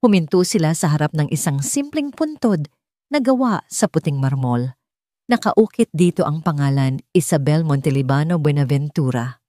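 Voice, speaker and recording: A Filipino woman narrates a dramatic story in a low, mellow voice. She phrases it deliberately and solemnly, and the recording is dry and close, with very little room sound. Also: lively intonation, dry recording. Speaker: audiobook narrator.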